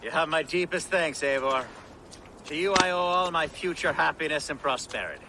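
An actor playing an earnest, courteous Anglo-Saxon nobleman and war leader in a video game, with a formal, measured manner. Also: fairly high pitch, background noise, natural voice. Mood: grateful, formal. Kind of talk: video game cutscene acting.

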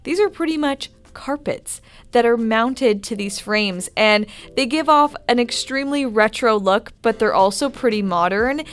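A woman's voice: fairly high pitch, measured pace, smooth. A woman interior designer talking casually and upbeat as she walks through a home decor store, giving shopping tips in a voice-over. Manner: upbeat, chatty recommendations. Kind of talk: shop-with-me voice-over.

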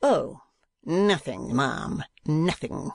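Narrator putting on a rough, bitter, sardonic businessman. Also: medium pitch, steady tone, no room sound, close to an everyday voice. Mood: sardonic, scornful. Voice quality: rough, harsh, grating. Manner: slow, bitter, mock-polite. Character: scornful, sardonic older businessman with bitter temper.

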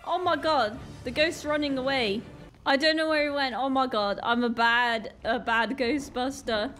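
A woman's voice: high voice, moderate pace, a bit bright, faint music behind. A young woman with a Northern Irish accent, sick, doing flustered casual commentary over a game she is new to. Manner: flustered, exclaiming often. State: sick, voice a bit off. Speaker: gaming YouTuber. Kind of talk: casual let's-play commentary.

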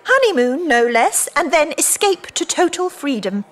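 Lively, cynical British woman. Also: sits high, normal speed, a faint crowd. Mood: teasing. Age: middle-aged. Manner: blunt, mocking, flirtatious. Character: brash, cynical, teasing woman of about forty. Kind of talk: audio drama dialogue.

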